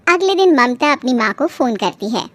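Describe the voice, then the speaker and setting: A woman's voice: high voice, sing-song, moderate pace, no room sound. A woman reading scripted narration as a storyteller.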